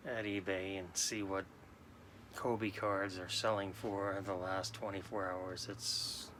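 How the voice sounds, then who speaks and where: Sad late-40s guy from new york, halting, lots of restarts, bright tone, pretty flat. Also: low-pitched, a little hiss or hum. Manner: halting, subdued, many restarts. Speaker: sports card vlogger. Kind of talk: casual personal talk to camera.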